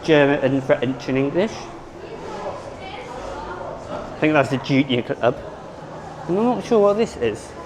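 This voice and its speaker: A man vlogging at a theme park, rambling and unsure of himself, hoarse as he is losing his voice. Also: a little high, expressive, a little slow, pauses often, noisy background. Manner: rambling, self-correcting, unsure. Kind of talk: casual vlog commentary.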